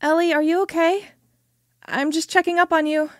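A woman's voice: very high voice, steady tone, moderate pace, no echo, studio quality. A woman playing a breezy, teasing young woman in an amateur audio drama, with a casual, offhand manner. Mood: concerned.

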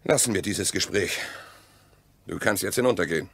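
An actor in a German radio play voicing an evasive, mistrustful husband with dry sarcasm and a clipped, deliberate delivery. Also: male voice, low voice, creaky, fairly bright tone, slightly rough, full character voice.

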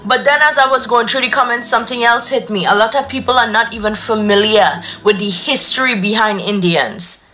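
A woman's voice: a little high, fairly quick, few pauses, sharp tone, faint music behind. A young woman talking straight to her viewers from a room, in an earnest, preachy way. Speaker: content creator. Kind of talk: casual talk to camera. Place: indoor room. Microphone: phone at arm's length.

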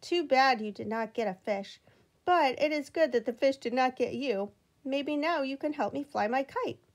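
A woman voicing a friendly grown man in a storybook, speaking gently and kindly to a monkey. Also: sits high, expressive, normal speed.

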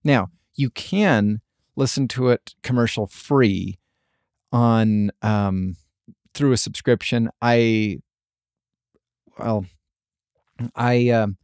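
A man hosting a podcast, talking casually by himself in a halting, rambling way. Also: mid-range voice, darkish tone, clean tone, dry recording, clean studio sound. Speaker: podcast host. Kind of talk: casual solo podcast commentary.

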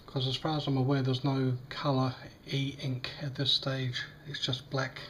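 A man with a slightly croaky voice, demonstrating a device in a casual, hesitant way with many fillers. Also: medium pitch, completely flat delivery, a little slow, fairly bright tone, a little hiss or hum. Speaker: tech unboxing vlogger. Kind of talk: casual product demo. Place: small indoor room at a table.

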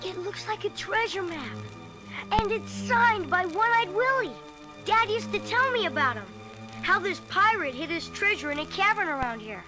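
An eager, adventurous young boy talks in a very high, lively voice that swoops with excitement. He sounds earnest and determined. Music plays behind him on this storybook record. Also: moderate pace.

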